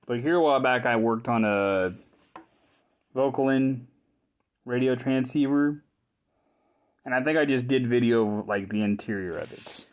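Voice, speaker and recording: Male hobbyist repairer, medium pitch, casual and unscripted, talks through what he is handling in short halting bursts. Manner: informal, thinking aloud in short halting phrases. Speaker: hobbyist repairer presenting his own video. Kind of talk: casual hands-on show-and-tell commentary.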